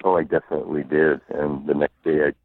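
Very deep baritone man on phone. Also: flat delivery, fairly quick, no echo. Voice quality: booming baritone. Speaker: interview guest, public address announcer. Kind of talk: casual anecdotal interview. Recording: muffled narrow phone line.

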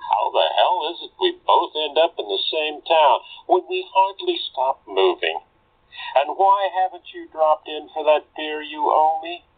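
A man's voice: fairly high pitch, big pitch swings, a little slow, gravelly, slightly rough, full character voice. Tinny phone voice, wisecracking male fence. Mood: teasing, mock hurt. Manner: streetwise, slangy, mocking. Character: wisecracking male fence and con man, teasing, dismissive. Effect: telephone filter. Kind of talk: audio drama acting. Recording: tinny narrow phone line.

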